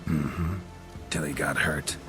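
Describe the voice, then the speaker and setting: Super low gravelly monster hunter, dry n unbothered, video game, music under it. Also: male voice, slow, heavily acted. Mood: dry, businesslike. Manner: deadpan, terse. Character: gruff, laconic monster hunter, dry and unruffled.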